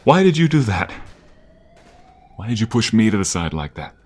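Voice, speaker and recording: Low-voiced devoted mobster lover, acted by a man. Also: big pitch swings, moderate pace, darkish tone. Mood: anxious, tender. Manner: pleading, emotional, speaks intimately to the listener. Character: devoted male mobster lover, tender, protective, desperate. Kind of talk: ASMR roleplay voice acting.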